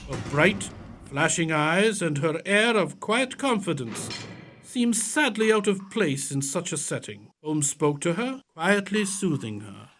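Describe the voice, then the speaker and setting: An actor playing Doctor Watson, a loyal, curious gentleman companion, in an audio theatre production. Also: fairly high pitch, expressive, unhurried, a little hiss or hum. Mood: reflective narrating. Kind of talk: audio theatre acting and narration.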